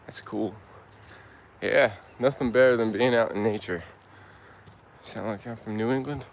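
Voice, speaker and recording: A young man from Turkey casually chatting with his live-stream audience while walking in the woods, relaxed and laid-back, answering comments aloud with halting restarts. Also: medium pitch, gravelly, a little hiss or hum. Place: outdoors in woods.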